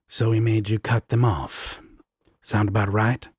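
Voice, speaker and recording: Man playing a deep, husky devil roommate. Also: little pitch movement, measured pace, darkish tone, no room sound, studio quality. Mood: sardonic, disgusted. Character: sardonic, protective, affectionate male devil roommate. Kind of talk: romantic comfort audio roleplay.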